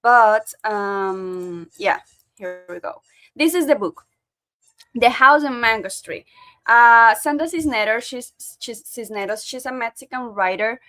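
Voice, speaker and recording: Woman, book club host, video call, second-language English, hesitant, fillers, slightly high voice. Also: animated, a little slow, a bit bright. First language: not English. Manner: hesitant, many fillers and restarts. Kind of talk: casual online meeting chat.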